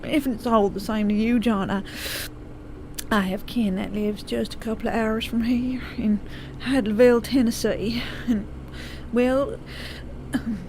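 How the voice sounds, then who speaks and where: A wounded, sarcastic country mother, played by a woman. She speaks slowly in a fairly high voice, drawing out her words with dramatic pauses. It is audio drama acting over faint noise. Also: steady tone, warm tone, rough recording. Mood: hurt, sarcastic. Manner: drawn-out, dramatic, hesitant pauses and sighs.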